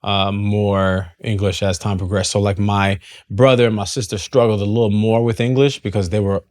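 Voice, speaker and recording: A man speaking in a relaxed, halting way as a singer being interviewed. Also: lowish voice, no echo, studio quality. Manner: relaxed, hesitant, laughs often. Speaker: guest singer. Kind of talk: conversational interview.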